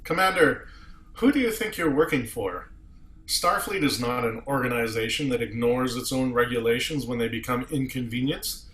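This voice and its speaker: A man's voice: mid-range voice, moderate pace, a bit bright, slight background noise, close to an everyday voice. A man playing a stern, principled starship captain in a table read, formal and commanding, recorded in an echoey room. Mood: firm, indignant. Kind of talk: amateur table read of a sci-fi script.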